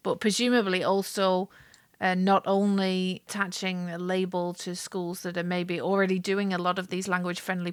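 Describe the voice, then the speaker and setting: A woman's voice: fairly low pitch, no echo, studio quality. A woman interviewer asking conversational questions, attentively prompting and rephrasing what she hears.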